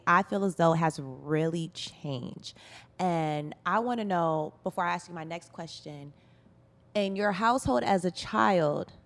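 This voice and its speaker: A woman hosting a radio interview in casual, flirty banter, playful and brash, with plenty of slang and swearing. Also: mid-range voice. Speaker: radio show host interviewing an artist.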